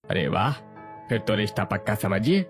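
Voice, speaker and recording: A man's voice: fairly low pitch, big pitch swings, normal speed, faint music behind. A man playing a humble, anxious middle-class father of a bride in an acted family story. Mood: pleading.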